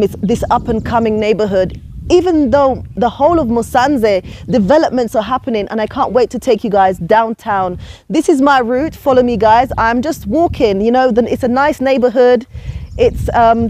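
A woman's voice: a little high, darkish tone, no room sound. A woman in her thirties, talking casually and persuasively to viewers while walking outdoors with a lapel mic. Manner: chatty, persuasive, addresses viewers as guys. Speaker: vlogger. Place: outdoors on a quiet suburban street. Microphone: clip-on lapel mic.

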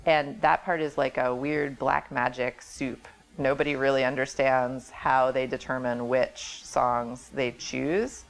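A woman's voice: very deep voice, little pitch movement, a little slow, gravelly, hardly any pauses. A woman in her forties explaining things conversationally as an interview guest, recorded indoors on a lapel mic. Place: small office indoors. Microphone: clip-on lapel mic.

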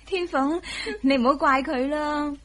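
An actress playing a gentle, coy young wife who keeps the peace, in a Hong Kong Cantonese radio drama. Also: female voice, high voice, fairly even intonation, a little slow. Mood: gently chiding. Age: young adult.

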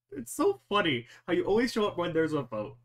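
A game master with a medium-pitched voice runs an online roleplay session. The narration is casual and improvised, and feels its way through each description. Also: huge pitch swings, a little fast. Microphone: voice call.

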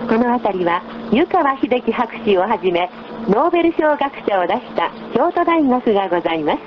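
Muffled, high-voiced female bus announcer, noisy. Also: big pitch swings, moderate pace. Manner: polite, formal. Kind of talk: scripted recorded announcement. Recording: muffled recording inside a noisy moving bus. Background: loud vehicle noise.

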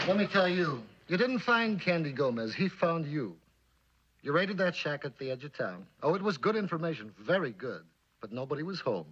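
An actor playing a cynical, bossy former army captain turned police chief with an American accent, in mid-century suspense drama style. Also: male voice, fairly high pitch, fairly bright tone. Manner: needling, bossy. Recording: dull, lo-fi old drama soundtrack.

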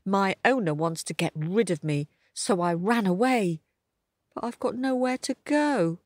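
A woman's voice: medium pitch, lively intonation, unhurried, no room sound, clean studio sound. A woman voicing an old, unloved but eager dog in a storybook, with a plaintive, sighing manner. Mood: sad, sorry. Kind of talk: storybook character voice.

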